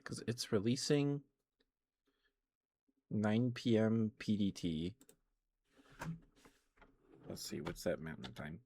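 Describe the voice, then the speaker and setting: A man with a lowish voice talks at a very slow, gentle pace, chatting casually to his ASMR stream viewers. He is soft-spoken and affectionate, with clear background noise behind him. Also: very animated. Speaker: streamer, host of the show.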